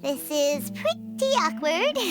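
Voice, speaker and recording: A woman voicing a small, cheeky, chatty floating fairy in a video game, with a squeaky childlike voice and exclamatory manner. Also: sing-song, very slow, loud music behind the voice, heavily acted. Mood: awkward, sarcastic. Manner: refers to self in third person, exclamatory.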